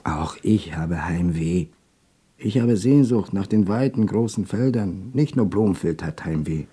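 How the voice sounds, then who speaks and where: Gruff, very dark-voiced bitter man. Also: sits low, lively intonation, unhurried, full character voice. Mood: homesick, bitter. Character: grumbling, bitter, homesick man. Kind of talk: radio drama dialogue.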